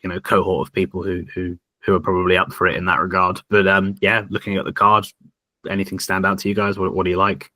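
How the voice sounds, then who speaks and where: A man with a very low but bright, forceful voice hosts a podcast in casual chat. He talks in a rambling, thoughtful way and steers the discussion. The recording is dry and close. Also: flat delivery, fast talker, no echo. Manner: rambling, thoughtful, leads the talk. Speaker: podcast host.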